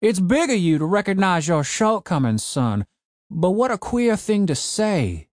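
A man voicing a cranky old rural man who keeps zombie servants, grumbling in a gruff, drawling way. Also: high voice, very animated, measured pace, a little mellow, dry recording, clean studio sound, voice pushed up. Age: older.

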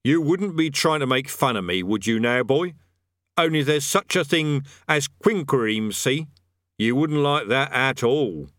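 Man doing a gloating rough army sergeant. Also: medium pitch, lively intonation, unhurried, fairly bright tone, dry recording, clean studio sound, voice pushed up. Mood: cheerful menace. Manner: coarse colloquial speech, gloating, cheerful menace. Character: smug, rough, garlicky army sergeant, middle-aged.

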